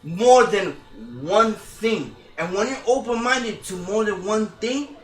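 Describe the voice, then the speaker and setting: A man's voice: high voice, takes it slowly, reverberant, faint music behind, rough recording. A man co-hosting a casual podcast, talking in a rambling, emphatic way and often checking in with his listeners. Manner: rambling, emphatic, keeps asking "you feel me". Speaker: podcast co-host. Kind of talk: casual, tipsy podcast chat.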